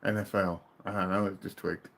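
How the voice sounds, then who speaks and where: A British man chats loosely with viewers from a room at home, answering questions as they come in. His talk is relaxed and rambling, and his voice often sinks to a low mumble as a thought winds down. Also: a little fast, vocal fry. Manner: relaxed, chatty, trails off into quiet mumbles. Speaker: livestream host. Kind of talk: casual live chat reading viewer comments.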